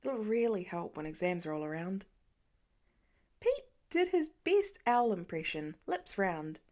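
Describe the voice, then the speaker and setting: A woman's voice: medium pitch, darkish tone. A woman reading a story aloud as scripted audiobook narration. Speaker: fan fiction audiobook reader.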